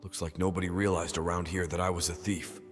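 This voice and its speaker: A man's voice: low voice, little pitch movement, fast, soft background music, lightly acted. An actor playing a smug, sly thief posing as a servant in an anime-style dub, with reverb on his voice. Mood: smug, scheming. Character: scheming male thief posing as a mansion servant.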